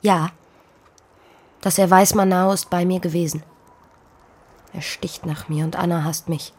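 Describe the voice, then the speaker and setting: An actress playing a poor young pregnant farm maid in a radio play, fearful yet stubborn, speaking earnestly in formal stage diction. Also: female voice, lowish voice, steady tone, unhurried, darkish tone, husky. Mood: troubled, guarded. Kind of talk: German radio play acting.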